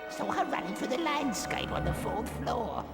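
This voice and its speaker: Creaky, gleeful, mocking poltergeist. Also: male voice, very high-pitched, lively intonation, normal speed. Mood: gloating. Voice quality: creaky, cackling. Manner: oily, taunting, mock-deferential. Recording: echoey, under loud game music.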